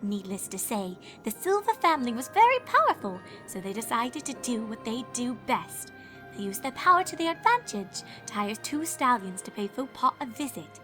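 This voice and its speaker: A woman's voice: fairly high pitch, big pitch swings, moderate pace, sharp tone, soft background music. A woman voicing a refined, dramatic female unicorn fashionista telling a spooky tale in a fan fiction reading. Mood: grave, suspenseful. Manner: theatrical, genteel, calls others darling.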